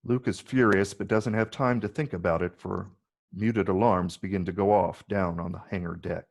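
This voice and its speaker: Man, lowish pitch, gravelly, husky, measured narration of script stage directions. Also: fairly even intonation. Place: virtual read, recorded remotely.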